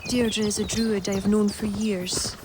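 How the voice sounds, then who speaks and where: Clear ambient noise surrounds a woman acting as a determined court adviser in a game. Her mid-pitched voice is formal, measured and earnest. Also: flat delivery, natural voice. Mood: composed, explanatory. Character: earnest, determined female poet advising a king. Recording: game audio over clear outdoor noise.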